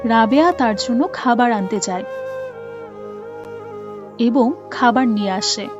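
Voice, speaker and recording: A woman's voice: a little high, takes it slowly. A woman narrating a scripted moral cartoon story. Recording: muffled, with music behind.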